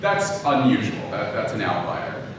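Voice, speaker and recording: A man's voice: fairly low pitch, very animated, unhurried. Man lecturing in a very echoey room. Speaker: lecturer, audio drama producer. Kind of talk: informal public lecture with audience questions. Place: indoor room talk before an audience. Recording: very echoey room, distant mic, noisy.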